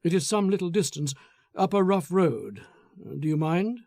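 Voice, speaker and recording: A man's voice: sits high, animated, normal speed, darkish tone, no room sound, studio quality. A man voicing a brooding, resentful man in an audiobook, terse and restrained, hiding menace behind courtesy. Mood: quiet, controlled menace. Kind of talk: audiobook character acting.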